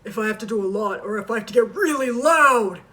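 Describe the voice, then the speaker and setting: A woman voicing a tough female cartoon gem warrior in a husky, forced deep voice. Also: lively intonation, a little fast, sharp tone, far from the mic. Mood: forceful.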